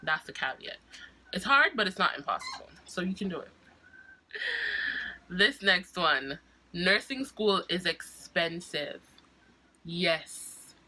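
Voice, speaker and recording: A woman's voice: lowish voice, sharp tone, a little hiss or hum, poor audio. A young woman with a cold, sounding stuffy, chatting casually to camera as a nursing student vlogger, with frequent laughs and restarts. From Jamaica.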